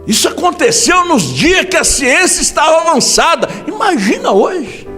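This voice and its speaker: Male pastor with a Brazilian accent, high voice, impassioned sermon delivery, long church reverb. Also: very animated, moderate pace, faint music behind. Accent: Brazilian Portuguese. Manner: impassioned preaching with sudden shouts and hushed drops. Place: large church hall.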